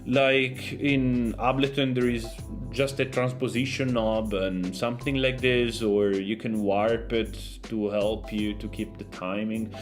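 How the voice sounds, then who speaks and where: A man giving a casual, loosely phrased software tutorial as a sound designer. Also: lowish voice, level tone, a little slow, hardly any pauses, soft background music.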